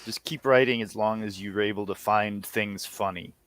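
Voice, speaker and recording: Laid-back man with a lowish voice. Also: steady tone, normal speed, fairly bright tone, dry recording. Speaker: podcast guest, audio series co-creator. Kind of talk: casual interview chat.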